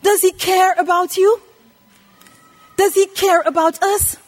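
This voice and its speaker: A woman's voice: very high-pitched, steady tone, unhurried. A woman in her eighties preaching a scripture lesson, emphatically repeating phrases and putting questions to her listeners. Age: 80s. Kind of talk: sermon teaching from scripture.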